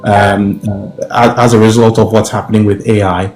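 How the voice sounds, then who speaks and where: A young Ghanaian professor speaking as a guest, careful and measured, with many fillers and restarts. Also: male voice, on the low side, flat delivery, darkish tone. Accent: Ghanaian English. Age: young adult. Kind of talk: interview answers. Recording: roomy with music behind.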